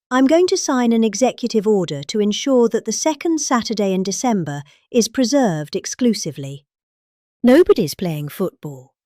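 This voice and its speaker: A woman's voice: mid-range voice, a little mellow, no room sound, studio quality. A middle-aged British woman reading a speech aloud in a theatrical, conversational manner, posing rhetorical questions as she goes. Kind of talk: dramatic read-aloud of a speech transcript.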